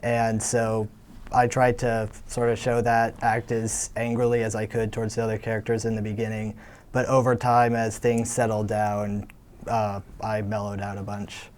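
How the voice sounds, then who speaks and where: A man's voice: fairly low pitch, little pitch movement, normal speed, darkish tone. A young man, a student actor, chatting casually in a studio interview. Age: teens to young adult.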